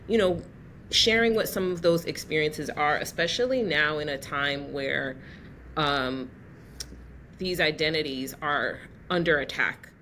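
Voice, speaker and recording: A woman hosting a casual interview, pausing often with "um" as she gathers her thoughts. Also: low voice, a little hiss or hum. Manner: warm-hearted, searching for words with many "um"s. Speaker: podcast host.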